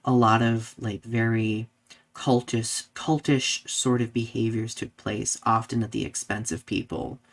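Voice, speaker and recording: Casual male podcast co-host, halting delivery. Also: medium pitch, level tone. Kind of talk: casual discussion, reading viewer comments.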